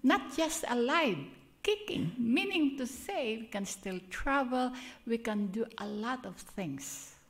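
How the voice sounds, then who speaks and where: Older Filipino woman preaching, gravelly voice. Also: on the high side. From Philippines. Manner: earnest, chatty, laughs at herself. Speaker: guest speaker. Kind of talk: sermon with prayer. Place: church.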